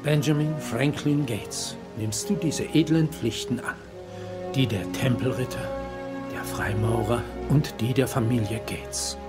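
An actor playing an old grandfather, telling treasure legends solemnly with hushed, dramatic pauses in dubbed film dialogue. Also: mid-range voice, loud music behind the voice, lightly acted. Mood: ceremonial. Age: older.

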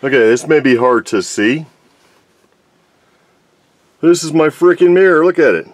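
A man in his forties from New Mexico, talking casually to camera from inside a parked van, excitable and exasperated. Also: fairly high pitch, unhurried, no room sound. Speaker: vlogger. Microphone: camera mounted in the car.

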